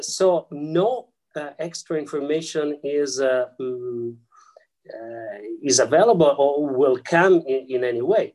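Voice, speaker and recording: A man speaking English with an Italian accent explains ideas slowly and carefully. He hesitates constantly, filling gaps with sounds and restarting half-finished phrases. His pace stays unhurried throughout. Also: mid-range voice, a little mellow, rough recording. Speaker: guest scholar. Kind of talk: explaining physics and theology in an interview.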